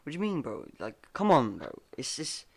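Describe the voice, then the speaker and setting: A man's voice: a little high, wildly swooping pitch, moderate pace, creaky. A young man hosting a casual podcast chat, rambling and laughing as he talks, full of "bro" and "like". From Wales. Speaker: podcast host.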